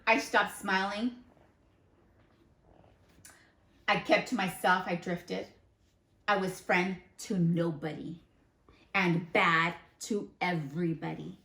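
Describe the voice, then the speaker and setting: A woman's voice: fairly low pitch, steady tone, slow pace, creaky, bright, forceful tone, roomy sound, full character voice. A woman voicing a small male seed from a storybook, an earnest reformed troublemaker who confesses with emphatic phrasing. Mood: glum, defiant.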